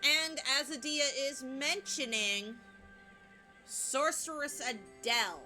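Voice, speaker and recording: Woman, let's play narrator, light youthful voice, unhurried, over game music. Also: high voice, pushed and bright. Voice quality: light, youthful voice she compares to a teen boy's. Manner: chatty, self-deprecating, with frequent laughs. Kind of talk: casual gameplay commentary.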